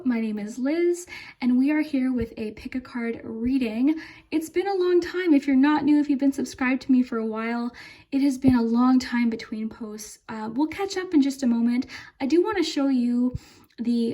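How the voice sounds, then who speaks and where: Mellow, high-pitched woman reading tarot. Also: moderate pace. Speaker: tarot reader and channel host. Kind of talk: casual, unscripted tarot reading to camera.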